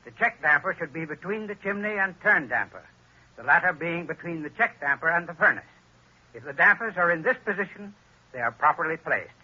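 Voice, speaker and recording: A man reading a scripted radio advertisement in a measured, instructive way. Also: a little high, pushed and bright, breathy edge. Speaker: sponsor spokesman. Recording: dull lo-fi old radio recording.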